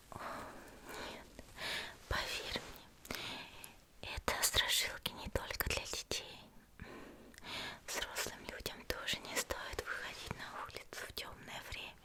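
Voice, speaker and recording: A woman acting as a young female demon slayer healer speaks in a soft, airy whisper with a very bright, crisp edge. She is gentle and composed, with a soothing, politely teasing manner. It is a close-miked anime ASMR roleplay, unhurried and intimate. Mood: gently curious. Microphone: close ASMR microphone.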